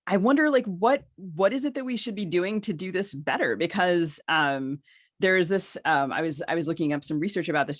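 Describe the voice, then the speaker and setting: A woman's voice: low-pitched, no echo. A woman speaks as a podcast co-host and interviewer in a very dry, close recording. She talks conversationally and thinks aloud, letting her questions grow long and discursive. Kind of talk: conversational literary panel discussion.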